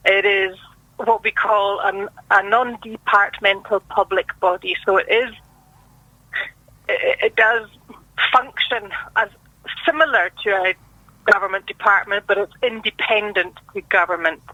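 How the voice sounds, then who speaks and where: A Scottish woman speaks as a radio interview guest, laying things out patiently and steadily. Her voice is bright and clear, and the sound is dry and close, with no room around it. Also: medium pitch, steady tone, dry recording, muffled recording. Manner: measured, patient explaining. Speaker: guest from a language board.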